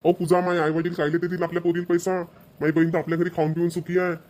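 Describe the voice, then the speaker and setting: A harried young cartoon husband, acted by a man, rattles on quickly in a rather high male voice. His Vidarbha Marathi speech is scolding and exasperated. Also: level tone, darkish tone, close to an everyday voice. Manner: nagging, complaining. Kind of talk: amateur cartoon voice acting.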